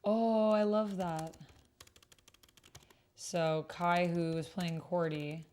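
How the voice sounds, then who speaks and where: A woman's voice: fairly low pitch, fairly even intonation, very slow, darkish tone. A woman streamer chatting casually and thinking aloud as she hosts an online roleplaying game, sometimes muttering to herself. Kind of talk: casual livestream chat while playing.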